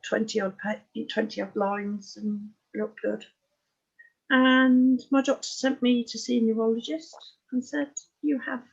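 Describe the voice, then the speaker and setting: Older English woman, sixties, husky voice on slightly dull audio, giving a frank, unpolished personal account as a guest. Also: a little high. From Oxfordshire, England. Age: 60s. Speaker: interview guest. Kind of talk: candid personal life story in conversation.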